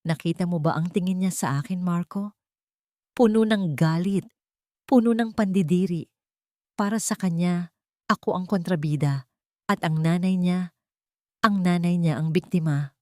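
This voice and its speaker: A woman's voice: on the low side, normal speed, long pauses, a little mellow, no echo, clean studio sound, lightly acted. A woman voicing a heartbroken, weary young woman with a sorrowful, pleading manner in an audio love story. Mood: anguished.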